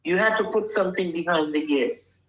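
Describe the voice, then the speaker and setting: A woman's voice: fairly low pitch, normal speed, a bit bright. Older woman, interview guest; forthright, insistent, roomy sound. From Liberia. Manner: blunt, emphatic, asks "you understand?". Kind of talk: casual interview talk.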